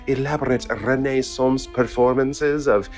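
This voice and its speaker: Pompous cartoon alien, male actor, medium pitch, slow and formal, easily affronted, light music underneath. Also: steady tone, natural voice. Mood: indignant. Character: huffy, pompous male alien elder of the family. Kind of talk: animated puppet comedy acting.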